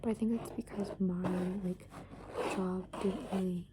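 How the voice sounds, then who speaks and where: A young adult woman rambles in a breathy ASMR whisper, hesitating and leaning on filler words as she goes. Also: mid-range voice, steady tone, unhurried. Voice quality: breathy, mostly unvoiced whisper. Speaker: ASMR video creator. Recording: clear background noise.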